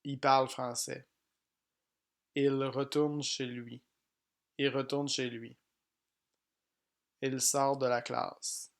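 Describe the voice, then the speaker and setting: A man teaching pronunciation, reading each example sentence slowly and plainly, often twice. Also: mid-range voice, flat delivery, gravelly. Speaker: French language teacher. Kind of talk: slow pronunciation drill.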